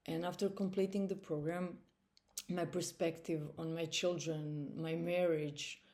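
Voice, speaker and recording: A woman in her forties with a Croatian accent, giving a slow, earnest personal testimony with measured pauses. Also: low-pitched, dark tone. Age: 40s. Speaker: testimony speaker.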